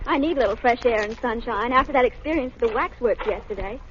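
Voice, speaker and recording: Actress as a brisk, high-voiced refined lady. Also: fairly bright tone, close to an everyday voice. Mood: light, chatty. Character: refined, chatty young woman companion. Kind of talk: 1930s radio acting. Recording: muffled vintage radio, faint noise.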